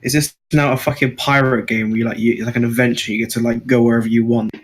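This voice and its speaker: Mid-pitched man, halting and sweary. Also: little pitch movement. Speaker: podcast co-host. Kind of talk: casual, sweary banter.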